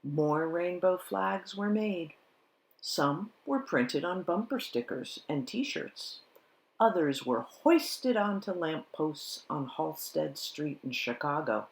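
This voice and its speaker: A woman reading a children's picture book aloud in measured, deliberate phrases with dramatic pauses. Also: low-pitched, vocal fry, low-quality recording. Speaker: storybook reader at a church service.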